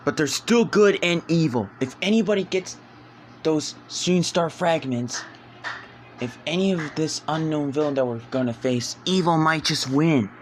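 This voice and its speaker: A man performing fan-made superhero script lines as an amateur voice actor, with halting, deliberate phrasing. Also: a little high, lively intonation, a little hiss or hum.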